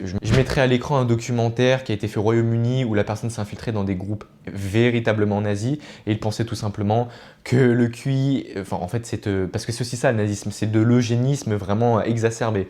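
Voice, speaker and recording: A man's voice: mid-range voice, a little mellow. A man giving informal explanatory commentary as a video essayist, earnest and didactic, often digressing and correcting himself.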